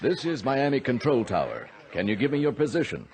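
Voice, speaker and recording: An actor playing a steady, grave air traffic controller who narrates a mystery in a radio drama. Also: male voice, mid-range voice, fairly quick. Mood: concerned. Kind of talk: dramatic first-person radio narration and dialogue.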